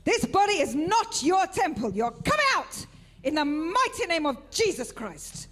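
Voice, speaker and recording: A woman minister shouting commanding deliverance prayers into a handheld mic in a church hall. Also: very high voice, big pitch swings, a little slow. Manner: commanding, repeated loud shouts.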